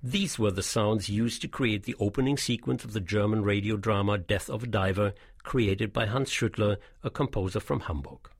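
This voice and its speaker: A man reading a scripted documentary narration in a measured, explanatory way, as the guide of an audio tour. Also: on the low side, creaky, husky, dry recording, clean studio sound.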